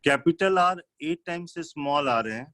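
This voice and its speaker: A man's voice: fairly high pitch, expressive, measured pace, a bit bright, no room sound. A man teaching maths live, working through problems step by step in an instructional way and mixing in English technical terms. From India.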